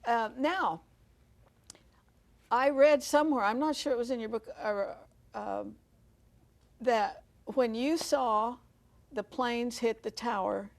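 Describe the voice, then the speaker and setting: A woman's voice: on the high side, slow pace, gravelly, pauses often. An older woman hosting a television talk show, interviewing a guest with encouraging affirmations.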